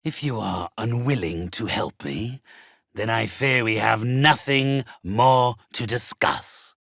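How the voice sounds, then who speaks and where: Creepy undead necromancer from a game, super slow n gravelly, husky, posh-formal, politely menacing. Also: male voice, fairly low pitch, a bit bright, no room sound, studio quality, full character voice. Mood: stately, ominous. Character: sinister, haughty undead male necromancer trading in spirits. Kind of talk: scripted video game character acting.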